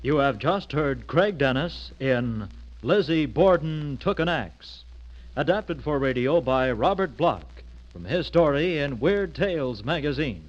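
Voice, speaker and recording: A dull, band-limited vintage recording carries a man with a fairly high voice. He works as a radio announcer, reading scripted credits in a formal old-time broadcast style. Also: animated, a little slow.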